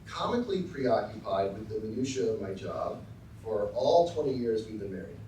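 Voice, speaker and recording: A man's voice: lowish voice, big pitch swings, moderate pace, mellow, distant mic, a little hiss or hum. Man giving a speech in an echoey hall. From Texas, US. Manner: dry, self-deprecating, with frequent ums and uhs. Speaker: professor giving an acceptance speech. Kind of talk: formal speech with light humor. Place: large lecture hall.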